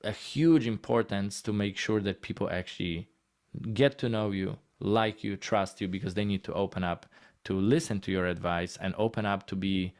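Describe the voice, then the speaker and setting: A man's voice: on the low side, steady tone, moderate pace, darkish tone. A man with a Polish accent giving a casual, encouraging presentation in short conversational phrases. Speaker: guest speaker on healthcare marketing.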